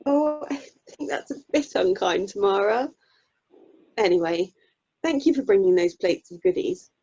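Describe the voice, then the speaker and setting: Casting: actress as sensible, kindly young mum for radio comedy, soft dark tone, chatty, slightly muffled recording. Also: female voice, medium pitch, lively intonation, normal speed, a little hiss or hum, lightly acted. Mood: concerned. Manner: chatty, gently teasing. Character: friendly, sensible young mother selling raffle tickets. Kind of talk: amateur radio play acting. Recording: dull, lo-fi video call sound.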